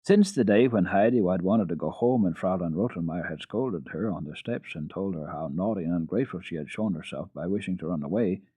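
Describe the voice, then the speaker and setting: A man giving a deliberate, expressive dramatic reading of a children's classic as a radio storyteller. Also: low voice, breathy edge. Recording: narrow-band, echoey old radio recording.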